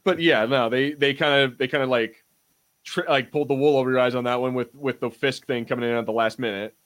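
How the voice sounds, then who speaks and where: A man's voice: medium pitch, steady tone, a little fast, a bit bright. A man hosting a podcast, chatting casually about TV shows in an informal way with some mild swearing. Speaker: podcast host.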